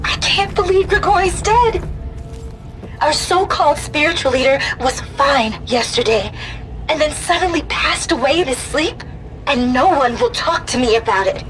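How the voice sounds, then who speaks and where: A woman's voice: high-pitched, animated, moderate pace, a bit bright, poor audio. A woman voicing an anxious, sardonic teenage girl who confides in her audio diary in a video game, with music behind her. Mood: troubled, sardonic.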